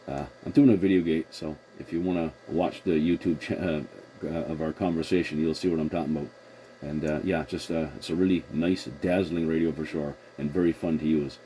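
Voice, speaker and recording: A man's voice: a bass voice, dark tone. A man hosting a casual CB radio conversation, using radio call procedure and rephrasing as he goes, on a noisy recording. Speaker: CB radio operator and video host.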